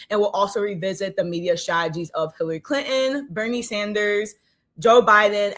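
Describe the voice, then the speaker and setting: A woman's voice: medium pitch, fairly bright tone. A young woman hosting a podcast, with an upbeat, emphatic delivery. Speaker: podcast host. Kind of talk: scripted podcast intro and hosting.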